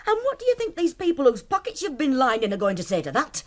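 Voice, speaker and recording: A woman's voice: high voice, huge pitch swings, quick pace. An actress playing a middle-aged working-class widowed mother with a Northern English accent, blunt and reproving, in a naturalistic radio drama. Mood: worried, doubtful. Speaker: actor.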